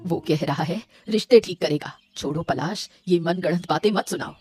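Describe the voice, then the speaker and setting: A woman plays a stern cartoon mother-in-law. She scolds and worries in a lowish, firm, reproachful voice, with music behind her. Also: normal speed, a little mellow, breathy edge. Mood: scolding. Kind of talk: Hindi moral-story cartoon voice acting.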